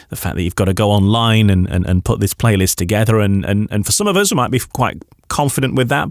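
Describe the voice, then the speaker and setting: Guy radio host chatting with a guest, lowish voice, repeats words a bit, dry close sound. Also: no echo. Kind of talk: radio interview, conversational.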